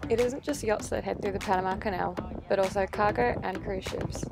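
A woman giving scripted voice-over narration for a sailing travel vlog, explaining each step clearly. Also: medium pitch, steady tone, brisk, over loud music.

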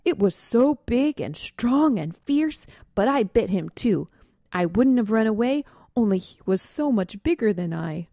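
Boastful storybook skunk, loud and lively, put on by a woman reader. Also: fairly high pitch, a little slow, dry recording, studio quality, voice pushed up. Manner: raised, emphatic, lively voice. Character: young male skunk, greedy and boastful.

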